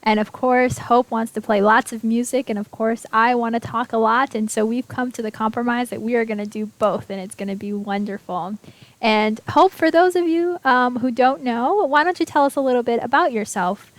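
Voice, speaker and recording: A woman hosts a casual online radio show, talking in a chatty, bubbly way with frequent laughs. Her voice sits a little high. The recording is dry, with no room sound. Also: normal speed, no room sound. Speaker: host. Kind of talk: casual internet radio chat.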